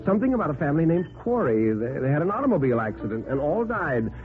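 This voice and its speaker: A man's voice: a little high, very animated, fast, voice pushed up. An American man voicing a gossipy, spiteful young society woman in a 1950s radio play, in a gushing, exclaiming way. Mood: urgent gossip. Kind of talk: 1950s radio drama acting. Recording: vintage radio recording, phone-like band, music behind.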